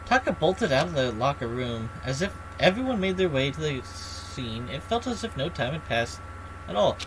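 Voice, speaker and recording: A man's voice: on the high side, big pitch swings, moderate pace, background noise. A man reading a story game aloud on a live playthrough, dropping in casual, irreverent, sweary commentary. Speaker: streamer reading a visual novel aloud. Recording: loud game music behind. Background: video game music.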